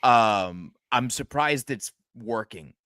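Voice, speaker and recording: A man co-hosting a horror movie podcast, chatting in an unhurried way that keeps tipping into excitement, with laughter and the odd curse. His delivery is slow and drawn out. The sound is dry and close to the mic. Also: medium pitch, no room sound. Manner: excitable, laughs often, swears when worked up. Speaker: podcast co-host. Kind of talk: casual chat about a horror movie.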